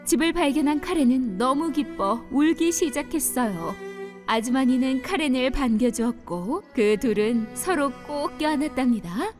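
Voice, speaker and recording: A woman's voice: high-pitched, lively intonation, normal speed. A woman narrating a dubbed children's fairy-tale cartoon in a storytelling manner, with music behind her.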